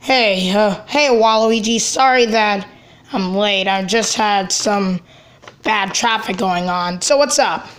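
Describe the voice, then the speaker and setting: A woman voicing a helpful cartoon superhero rescuer in a halting, matter-of-fact way. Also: mid-range voice, fairly bright tone, voice pushed down. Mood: helpful, calm.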